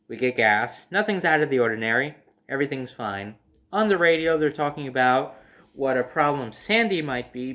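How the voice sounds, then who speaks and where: A man's voice: medium pitch, fairly bright tone. An American man telling a personal story casually, in a rambling way, circling back over details.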